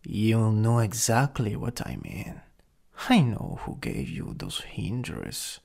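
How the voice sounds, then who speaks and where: A jealous, possessive supervillain from an ASMR roleplay , acted by a man, speaks with an Italian accent in a slow, teasing drawl. His creaky voice swings widely in pitch as he taunts, and it slips at times into a whisper. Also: wildly swooping pitch, a little mellow, exaggerated voice. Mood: jealous, needling.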